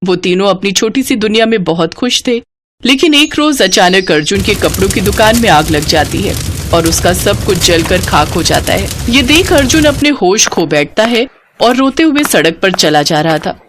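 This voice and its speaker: A woman's voice: mid-range voice, lively intonation, moderate pace, background noise. A woman narrating a scripted moral story as a storyteller.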